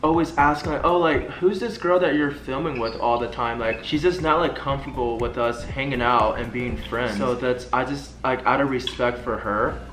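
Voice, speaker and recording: Halting young man in an echoey room. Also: mid-range voice, fairly bright tone. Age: young adult. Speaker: prank video co-host. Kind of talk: casual phone chat. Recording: echoey room, music underneath.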